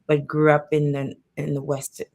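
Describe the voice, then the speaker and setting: A woman from Cameroon who grew up in Canada talks on a video-call panel. Her voice sits very low and gravelly, with an even, narrow melody, and she picks her words reflectively. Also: normal speed. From born in Cameroon, raised in Canada. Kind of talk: panel discussion.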